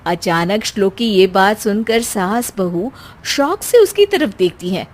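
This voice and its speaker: A woman's voice: mid-range voice, expressive, unhurried. A woman narrating a scripted cartoon family story as a storyteller.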